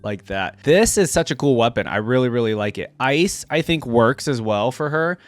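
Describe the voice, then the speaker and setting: Animated man doing casual reaction commentary. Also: mid-range voice, no room sound. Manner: halting, informal, enthusiastic about what he watches. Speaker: reaction video host. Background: faint music from the video he watches.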